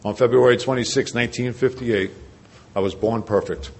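A man's voice: steady tone, moderate pace, a little hiss or hum. Older New man giving his testimony. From New Jersey. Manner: conversational and self-deprecating, drops his voice low and quiet for emphasis. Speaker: recovery speaker at a large convention. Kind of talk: personal testimony talk. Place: large convention hall.

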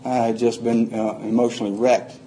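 A man's voice: mid-range voice, flat delivery, fairly quick, dark tone, a little hiss or hum, rough recording. An older man, telling his life story plainly to a group, rambling and restarting often. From Atlanta, Georgia, USA. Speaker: recovery speaker.

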